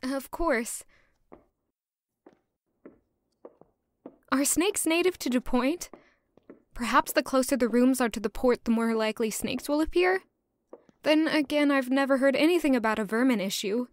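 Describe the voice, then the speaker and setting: A woman's voice: high-pitched, moderate pace, lightly acted. A woman playing a young, anxious, curious castle servant who narrates her own story in an audio drama. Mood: nervous, musing. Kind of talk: first-person audio drama narration and dialogue.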